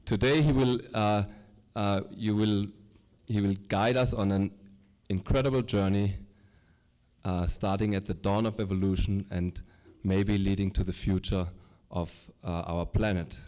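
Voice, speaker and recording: A middle-aged man with a low, mellow voice introduces a guest lecturer. He speaks formally but haltingly, pausing often on fillers and starting phrases over. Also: fairly even intonation. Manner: hesitant, with many fillers and halting restarts.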